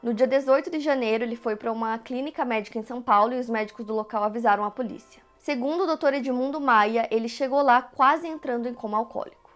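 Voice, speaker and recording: A woman's voice: on the high side, fast talker, hardly any pauses, soft background music. A Brazilian woman steadily narrating a true crime case from a script. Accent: Brazilian Portuguese. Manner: steady, even retelling of events.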